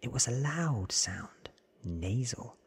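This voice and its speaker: A man reads as an earnest young storybook friend, awed by what he tells. The voice is an extremely breathy whisper, slow and drawn out, in close ASMR style. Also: medium pitch, lively intonation, full character voice. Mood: awed, eerie. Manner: hushed, drawn-out, earnest telling.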